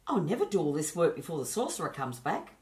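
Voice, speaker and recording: A woman's voice: deep voice, very animated, quick pace, a little mellow. An eager young apprentice boy from a children's story, acted by a woman reader. He is earnest and wide-eyed, hungry for adventure. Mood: despairing.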